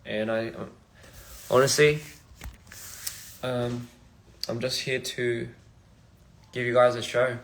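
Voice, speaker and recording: A young man from Australia, a musician chatting casually on a phone livestream in a halting, rambling, soft-spoken way. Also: mid-range voice, little pitch movement, a little hiss or hum. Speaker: musician streaming live. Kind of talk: casual live-stream chat.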